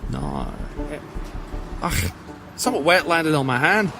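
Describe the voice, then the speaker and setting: A man's voice: fairly high pitch, big pitch swings, unhurried, background noise. A man playing a young, cocksure, protective homeless brother in an audio drama. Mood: puzzled.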